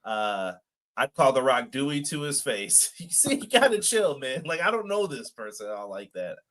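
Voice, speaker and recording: A man's voice: mid-range voice, very animated, normal speed, fairly bright tone. A man co-hosting a live wrestling podcast, chatting casually, reading viewer comments aloud and laughing often. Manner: laid-back, reads chat comments aloud, laughs often. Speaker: podcast co-host. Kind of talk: casual live-stream chat.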